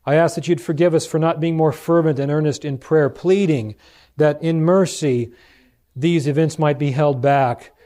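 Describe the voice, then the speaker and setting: A man who preaches, praying aloud in a solemn, pleading way with deliberate pauses. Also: on the high side, fairly even intonation, dark tone. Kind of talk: earnest spoken prayer.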